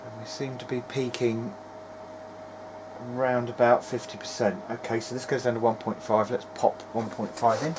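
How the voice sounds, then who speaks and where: A man talks casually to himself while working through a hands-on electronics test. His remarks come in short, halting, muttered bursts at a middling pitch. Clear background noise sits behind him in a small workshop, picked up by a camera on the bench. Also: level tone. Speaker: hobbyist presenter of a video diary. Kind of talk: casual running commentary while testing electronics. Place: small cluttered indoor electronics workbench.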